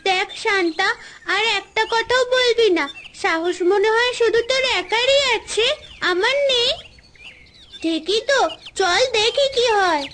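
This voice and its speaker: Woman voicing a very high-pitched young cartoon girl. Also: unhurried, fairly bright tone, slight background noise. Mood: teasing, defiant. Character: young girls, close friends on a forest adventure, bickering. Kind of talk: cartoon fairy tale dialogue.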